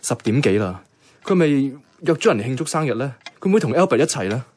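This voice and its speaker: An actor playing a self-effacing young bank worker in a radio drama, speaking casual, colloquial Hong Kong Cantonese. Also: male voice, mid-range voice, sing-song, quick pace, darkish tone, slight background noise, natural voice. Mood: nervous, reflective. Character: soft-hearted, self-effacing young male bank worker, secretly devoted. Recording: music playing behind the voice.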